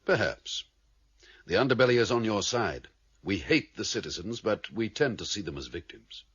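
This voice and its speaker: An actor in a radio drama playing a grave, commanding male leader of an underworld council, speaking formally and with measured authority. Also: fairly low pitch, animated, fairly bright tone, breathy edge. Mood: measured, guarded. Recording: dull, band-limited radio drama recording.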